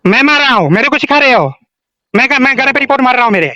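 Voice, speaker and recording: A man in a heated phone argument, insistent and quick to talk over the other person. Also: very high-pitched, expressive, bright, forceful tone, no echo. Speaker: disgruntled music client on a phone call. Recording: dull, lo-fi phone call recording. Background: another man arguing on the line.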